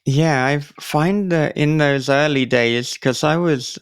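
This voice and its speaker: A man's voice: a little high, slow, smooth, dry recording, clean studio sound. A man, hosting a casual podcast interview in a reflective, discursive way. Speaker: podcast host.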